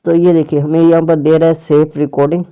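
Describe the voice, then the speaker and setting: A man's voice: on the high side, level tone, fast talker, smooth. A man presenting a casual step-by-step app tutorial, often repeating and rephrasing his instructions. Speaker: tutorial host. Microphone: clip-on or plugged-in microphone. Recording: dull, muffled lo-fi microphone.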